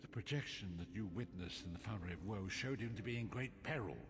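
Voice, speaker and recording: An actor voicing a wise old blind prophet in a fantasy video game, grave and cryptic, speaking in solemn, formal phrases. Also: male voice, lowish voice, fairly quick, natural voice. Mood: grave warning. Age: older. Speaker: quest-giving mentor in a fantasy game. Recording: long hall reverb, game music underneath. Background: game music.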